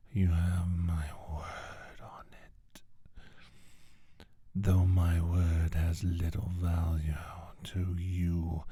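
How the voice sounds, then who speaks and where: Man acting a sinister alien scientist, ASMR roleplay, extremely slow, breathy and husky, formal, pompous, close and dry sound. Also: deep voice, warm tone, no echo, full character voice. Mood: silky, sinister. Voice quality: breathy, half-whispered, husky. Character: menacing male alien scientist bent on world domination. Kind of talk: soft-spoken ASMR roleplay monologue.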